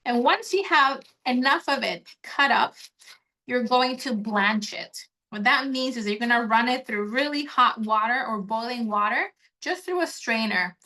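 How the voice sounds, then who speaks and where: A woman from Honduras runs a live online cooking class, explaining each step in a friendly way as she works. Her voice sits a little high and rings bright and clear. It sounds like a computer microphone on a video call. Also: expressive, unhurried, low-quality recording. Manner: friendly, explanatory, talks while chopping. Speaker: cooking demo instructor.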